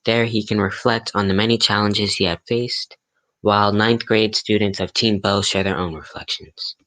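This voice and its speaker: A man's voice: lowish voice, fairly even intonation, normal speed, pushed and bright. A man playing an eager, folksy announcer in the scripted style of 1940s radio. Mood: eager, chummy.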